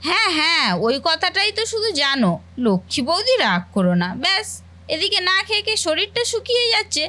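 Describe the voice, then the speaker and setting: A woman's voice: very high voice, sing-song, fairly quick, bright, forceful tone, full character voice. A woman voicing a caring, fussing sister-in-law and housewife in a family cartoon. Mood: fond scolding. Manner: affectionate, fussing, motherly. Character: caring, doting elder sister-in-law, housewife, gently scolding. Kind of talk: Bengali family cartoon voice acting.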